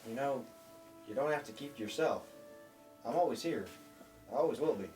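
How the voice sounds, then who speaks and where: Woman acting a low-voiced, lively smitten boy. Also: fairly quick. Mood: bashful, devoted. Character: earnest, smitten, teasing young sweetheart boy, 1940s America. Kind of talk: radio play acting. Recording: roomy, distant mic, faint music.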